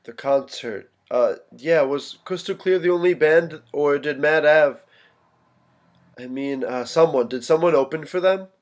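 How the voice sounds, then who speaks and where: A man's voice: on the high side, a little slow, close to an everyday voice. An actor playing an anxious, unravelling young man in a staged play reading, hesitant and stammering. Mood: casual.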